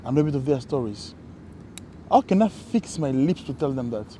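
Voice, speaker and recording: A man co-hosting a casual conversation, reflective and halting, often restarting his sentences. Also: medium pitch, lively intonation, warm tone, slight background noise. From Congo. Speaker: co-host.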